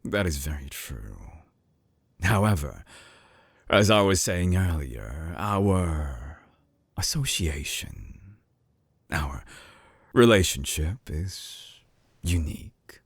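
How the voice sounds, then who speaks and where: An actor playing a suave, obsessive villain scientist in an intimate binaural audio drama, teasing and menacing, with a husky voice that slips into breathy whispers. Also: male voice, rumbling low, sing-song, dry recording, clean studio sound, exaggerated voice. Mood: sly, seductive. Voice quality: husky, gravelly. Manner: slow, theatrical, teasing, sliding into breathy whispers. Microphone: close binaural microphone.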